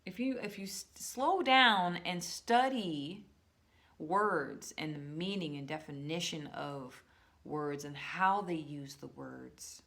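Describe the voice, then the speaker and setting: A woman with a low, deep-set voice muses aloud to her viewers in an easygoing vlog. She rambles, restarts her sentences and draws certain words out slowly for weight. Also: fairly bright tone. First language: English. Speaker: vlogger. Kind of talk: casual musing monologue to viewers.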